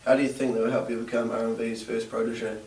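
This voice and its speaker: A man interviewing his friend, reading steady prepared questions. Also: mid-range voice, fast talker. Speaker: interviewer, friend nominating the guest. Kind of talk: semi-scripted interview questions. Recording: distant roomy camera sound.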